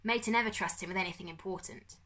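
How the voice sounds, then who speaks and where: A woman voicing a hushed, knowing young guide. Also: medium pitch, fast, close to an everyday voice, poor audio. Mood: matter-of-fact. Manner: hushed, matter-of-fact. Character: quiet, knowing young girl guide, whispering. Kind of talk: audiobook character voice.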